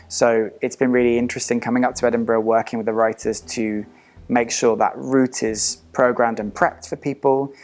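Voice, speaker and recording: A man's voice: medium pitch, flat delivery, normal speed. A man speaking as a theatre director, explaining a creative process in an interview.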